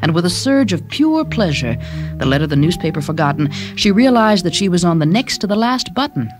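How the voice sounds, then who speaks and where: A woman's voice: lowish voice, sing-song, brisk. A woman reading scripted short-story narration as the narrator. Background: music.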